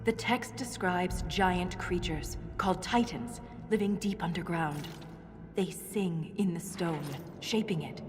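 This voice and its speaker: An actress playing an earnest dwarven scholar in a video game, speaking in a measured, scholarly way. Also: female voice, lowish voice, lightly acted. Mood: intrigued. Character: earnest female dwarven scholar exploring underground ruins. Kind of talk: video game cutscene acting. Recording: long cavernous reverb, music underneath.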